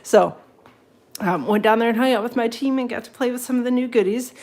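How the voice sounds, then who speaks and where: A woman's voice: a little high, a little fast, a little mellow. A woman hosting a casual papercraft livestream, a bit sniffly from a cold, rambling and greeting viewers by name.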